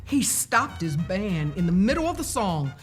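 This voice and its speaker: Woman reading a kids book out loud, really takes her time, expressive, bit of music behind her. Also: mid-range voice, unhurried. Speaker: storyteller reading a children's book aloud. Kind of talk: expressive scripted narration of a first-person children's story.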